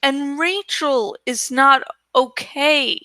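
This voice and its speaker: A woman voicing a frustrated, sharp-tongued and anxious teenage boy in a read-aloud adventure story. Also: high-pitched, extremely slow, dry recording, heavily acted. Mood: tense.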